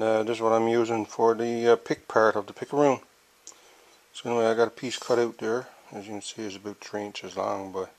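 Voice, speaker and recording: A middle-aged man in a cluttered home workshop, talking through his toolmaking in a rambling, matter-of-fact way, with half-muttered asides into a handheld camera. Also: medium pitch. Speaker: hobby toolmaker. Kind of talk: workshop tutorial. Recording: loud workshop noise behind.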